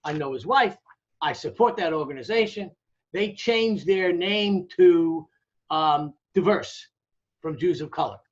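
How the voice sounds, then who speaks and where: Higher-voiced American man explaining emphatically. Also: expressive, a little slow. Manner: emphatic, didactic, poses rhetorical questions and checks with "okay?". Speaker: academic interviewee, demographer. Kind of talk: conversational explanatory interview. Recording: dull, lo-fi audio.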